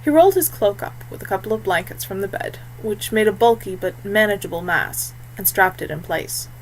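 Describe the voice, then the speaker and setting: A woman's voice: medium pitch, lively intonation, a little fast, slight background noise, low-quality recording. A woman reading scripted fiction as an audiobook narrator.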